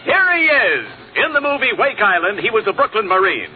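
A man's voice: high-pitched, big pitch swings, fairly quick, over loud music. A man with an American accent reading a scripted 1940s radio show introduction as announcer. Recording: tinny vintage radio broadcast, faint noise.

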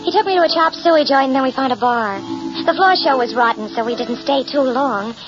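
A high, girlish voice plays a coy, slangy young murderess from a 1940s radio thriller. She sounds sweet and matter-of-fact about dark things. The old recording is muffled, with loud music. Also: fairly quick. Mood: chatty, chilling. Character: sweet-talking homicidal young manicurist, escaped asylum inmate.

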